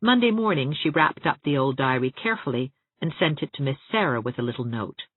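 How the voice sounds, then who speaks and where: Husky woman narrator with lively intonation. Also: deep voice, a little fast, dry recording, phone-quality sound. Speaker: audiobook narrator. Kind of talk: expressive scripted storybook narration.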